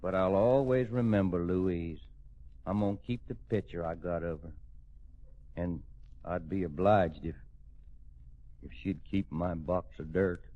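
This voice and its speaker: An actor playing a folksy old cowboy suitor with a rustic drawl, in 1940s radio comedy style. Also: male voice, low-pitched, a little slow, long pauses. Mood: wistful farewell. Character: folksy old Texan cowboy suitor, gruff, horse-loving. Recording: dull lo-fi old radio recording.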